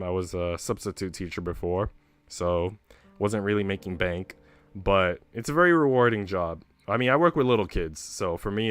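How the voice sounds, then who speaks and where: A man's voice: fairly low pitch, a bit bright, clean tone. A man hosting a livestream, talking casually and candidly to his viewers in a chatty way with some casual swearing. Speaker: livestream host. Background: background music.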